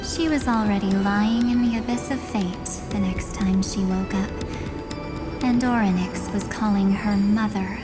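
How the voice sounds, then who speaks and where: Slow, enigmatic young woman of oblivion, voiced by an actress. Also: mid-range voice, in a large hall, loud music behind the voice, lightly acted. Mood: cryptic, calm. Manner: measured, cryptic, theatrical. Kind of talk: dramatic game dialogue.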